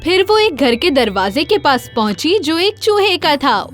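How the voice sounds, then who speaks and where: A woman narrates a children's fairy tale as a scripted storyteller. Her voice is high. Soft music runs underneath. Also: big pitch swings. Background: faint music.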